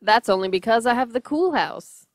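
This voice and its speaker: A woman's voice: a little high, expressive, normal speed, no room sound, studio quality, natural voice. A woman playing a bossy, flirtatious widow in an audio drama. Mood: bossy, coaxing. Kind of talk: podcast audio drama acting.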